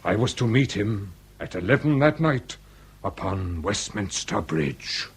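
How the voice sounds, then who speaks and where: A grave, aristocratic lord in his sixties, speaks with a husky edge. His phrasing is formal, ornate and theatrical, in the manner of period radio drama. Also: lowish voice, animated, measured pace, full character voice. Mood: anguished. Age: 60s. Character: grave aristocratic lord past sixty, confessing a dark secret.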